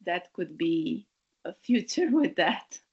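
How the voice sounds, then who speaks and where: A woman talks slowly and hesitantly on a webinar, searching for her words in a lowish voice. The call audio is muffled and lo-fi. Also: big pitch swings. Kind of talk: casual discussion. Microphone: video call.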